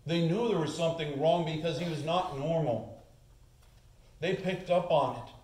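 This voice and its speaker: A man's voice: fairly high pitch, normal speed, darkish tone. A man in his forties preaching a sermon as pastor, earnest, lifting his voice on the key points, filmed from a distance at the lectern. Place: church sanctuary, at the lectern. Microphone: far camera. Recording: echoey church room.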